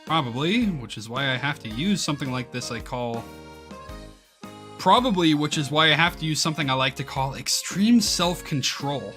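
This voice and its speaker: A man reading the game dialogue of an easygoing, good-humored and sheepish high-school student. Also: lively intonation, normal speed, sharp tone. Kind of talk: reading visual novel dialogue aloud. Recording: music playing behind the voice.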